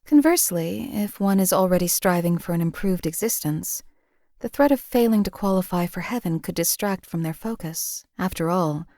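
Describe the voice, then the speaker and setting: A woman voicing a cold-blooded female assassin companion in a game, flat, clipped and detached. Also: on the low side, no room sound, studio quality, close to an everyday voice. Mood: lecturing.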